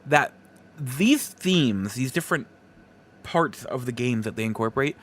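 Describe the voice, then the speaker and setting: Slow, halting man podcasting casually. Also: mid-range voice, lively intonation, fairly bright tone. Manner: halting, broken phrasing with emphatic stresses. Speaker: podcast host. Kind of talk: casual solo opinion talk.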